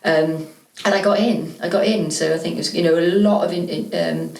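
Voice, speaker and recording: Fast-talking woman, faint Welsh accent. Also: deep voice, fairly even intonation, vocal fry, a little mellow. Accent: faint Welsh, mostly southeast English. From Wales. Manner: fast, chatty, rambling with restarts. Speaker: guest, property investor. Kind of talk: casual podcast interview. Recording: echoey room, a bit far from the mic.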